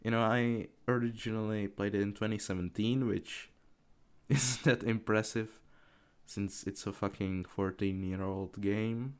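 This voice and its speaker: Low gravelly young man. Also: steady tone, a little slow. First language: Czech. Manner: rambling, self-deprecating, swears casually, laughs often. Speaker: gaming commentary YouTuber. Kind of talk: unscripted rambling commentary over gameplay.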